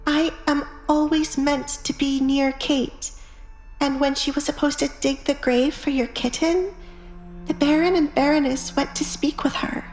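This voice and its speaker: A woman's voice: sits high, fairly even intonation, measured pace, darkish tone, voice pushed up. A woman voicing a timid, earnest young maid in an audiobook drama. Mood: confiding. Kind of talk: audiobook drama character acting. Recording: echoey, music behind.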